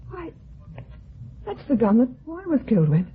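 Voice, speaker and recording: A woman's voice: high voice, very animated, normal speed, slight background noise. A woman playing an anxious, pleading wife with agitated protests, in 1950s radio melodrama. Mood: alarmed, pleading. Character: anxious, pleading wife caught hiding something. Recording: thin, tinny 1950s radio recording.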